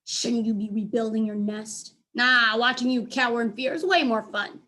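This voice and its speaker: A woman's voice: on the high side, normal speed, bright, forceful tone. A woman voicing a smug male animal in a storybook, taunting a friend playfully. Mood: teasing.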